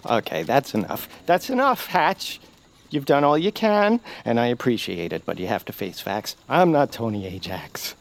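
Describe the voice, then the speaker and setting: Brief: a gentle, fussy, insecure radio hero actor, voice swooping wildly up and down. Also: male voice, mid-range voice, big pitch swings, moderate pace, vocal fry. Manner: meek, self-deprecating, wavering. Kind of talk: audio drama acting.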